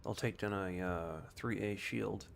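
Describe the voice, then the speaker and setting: A man's voice: on the low side, a little slow. A man giving casual gameplay commentary as he streams a game, at times calling out short voice commands. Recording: clear background noise.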